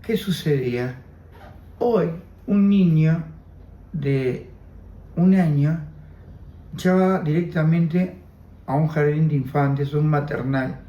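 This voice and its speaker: A man's voice: a little high, takes it slowly, pauses often, reverberant, lo-fi sound. A man in his seventies with an Argentine Spanish accent, Armenian as his first language, giving an oral history testimony, reflective and repeating his phrases. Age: 70s. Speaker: interviewee.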